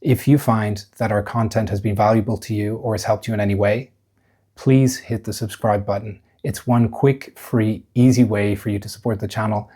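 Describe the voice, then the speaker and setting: A man's voice: lowish voice, steady tone, moderate pace, dark tone, breathy edge. A man hosting a podcast, presenting and asking questions in a polite, encouraging manner. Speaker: podcast host, interviewer.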